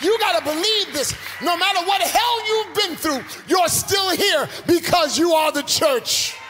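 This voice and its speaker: A man preaching a sermon in a rhythmic call-and-response style, building to shouts with a congregation. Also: extremely high pitch, animated, unhurried, a crowd in the background. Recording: long hall reverb, faint music.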